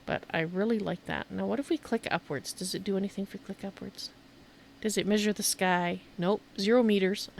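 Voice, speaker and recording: A woman's voice: medium pitch, steady tone, brisk, creaky, darkish tone, a little hiss or hum. A woman giving a casual, chatty game tour as a streamer, thinking aloud as she shows things off. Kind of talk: casual gameplay commentary and show-and-tell.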